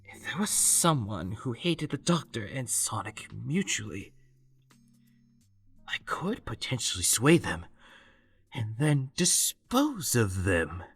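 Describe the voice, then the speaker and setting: A man's voice: medium pitch, sing-song, slow, breathy edge, no echo, faint music behind, heavily acted. A man playing an arrogant, scheming villainous scientist in a comic dub, speaking in precise, wordy, pompous diction. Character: arrogant, calculating villainous male doctor scientist, theatrical schemer.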